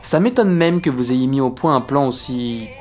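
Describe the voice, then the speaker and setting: A man's voice: medium pitch, animated, normal speed, a little mellow, faint music behind, close to an everyday voice. A man playing an ambitious, indecisive French First World War captain who hesitates and gets defensive. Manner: hesitant, defensive, self-important. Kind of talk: amateur comedy audio drama.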